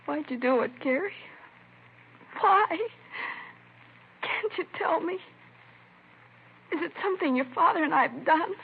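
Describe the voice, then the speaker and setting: A woman's voice: sits high, lively intonation, measured pace, gravelly, pauses often, full character voice. An actress playing a distraught mother pleading in a 1950s radio drama. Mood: anguished pleading. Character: distraught, pleading middle-aged mother of troubled son. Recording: tinny, band-limited vintage radio recording.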